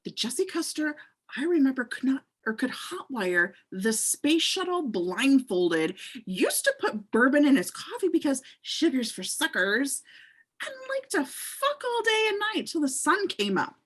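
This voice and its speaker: A woman's voice: high-pitched, a little slow, exaggerated voice. A woman reading a furious young woman confronting the ex who left her, with a put-on Southern accent and plenty of swearing. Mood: angry. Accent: American Southern, put on. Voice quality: husky, swearing, lively delivery. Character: furious young woman, jilted ex-car thief. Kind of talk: amateur script read-through.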